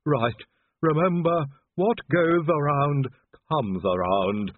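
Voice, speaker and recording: A man's voice: on the high side, very animated, slow, dry recording, narrow, tinny audio, pitched above the performer's own voice, exaggerated voice. A man voicing a dying patchwork manservant in an audiobook, groaning and urgent, with colloquial, practical insistence. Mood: groaning, insistent.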